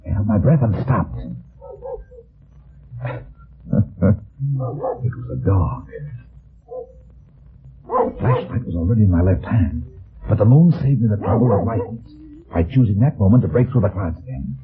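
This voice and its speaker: A man's voice: lowish voice, expressive. An actor playing a wry, wary, heavyset private detective, narrating his case tersely in a radio drama. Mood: tense, watchful. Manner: deliberate, terse, dry storytelling. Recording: old phone-like radio recording, music behind.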